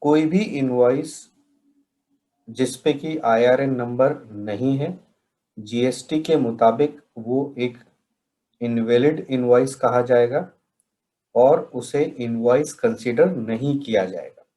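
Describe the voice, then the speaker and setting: A man from India presenting a question-and-answer tutorial in a deliberate way, pausing between phrases. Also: mid-range voice, dark tone, poor audio. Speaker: presenter of a software training session.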